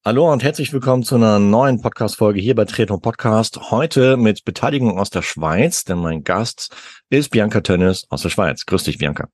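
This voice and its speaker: A man hosting a podcast, chatting casually and relaxed with a guest, using many fillers. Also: on the low side, moderate pace, no echo, clean studio sound. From Germany. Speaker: podcast host. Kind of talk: casual interview chat. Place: home in France.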